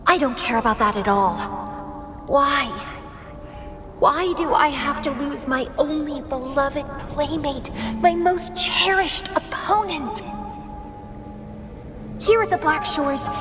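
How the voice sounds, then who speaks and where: A woman playing an obsessive, playful yet melancholy young fighter in a video game, with a theatrical, languid, musing delivery. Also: high voice. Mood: wistful, resentful. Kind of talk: video game character acting. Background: background music.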